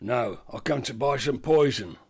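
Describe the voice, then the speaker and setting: A man's voice: mid-range voice, lively intonation, moderate pace, vocal fry, breathy edge. A man voicing a greedy, scheming robber with an East London accent, talking bluntly.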